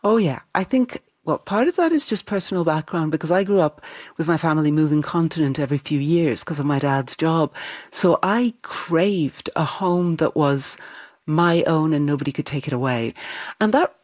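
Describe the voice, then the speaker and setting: A woman's voice: deep voice, normal speed. A middle-aged woman with a transatlantic accent tinged with Irish, answering interview questions with enthusiasm and easy laughter. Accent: transatlantic, with some Irish. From United States. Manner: enthusiastic, laughs easily, animated. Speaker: novelist guest. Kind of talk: interview conversation.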